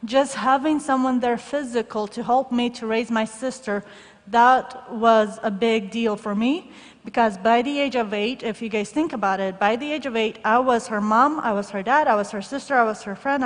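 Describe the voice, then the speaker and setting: A woman's voice: a little high, normal speed. A young adult woman, originally from the former Soviet Union, shares her life story with a live audience. She talks to the listeners directly and candidly, with touches of humor, in a slightly raised voice. A long reverb hangs on her words, as in a large hall. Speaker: guest speaker sharing her testimony. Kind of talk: personal testimony speech to an audience.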